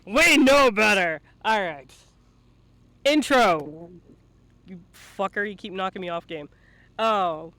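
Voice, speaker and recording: A man with a high, bright voice hosts a live-streamed interview. He speaks informally into a close mic, teasing and swearing as he goes in a playful, bantering style. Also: expressive, takes it slowly, long pauses, no echo. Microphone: close mic at a computer.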